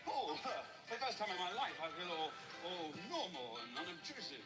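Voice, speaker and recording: Theatrical, high-voiced pantomime prince. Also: male voice, brisk, loud music behind the voice. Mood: wistful, flustered. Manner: exaggerated, animated stage delivery. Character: pompous, lovelorn, melancholy pantomime prince, swapping with his valet. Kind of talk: broad theatrical pantomime dialogue.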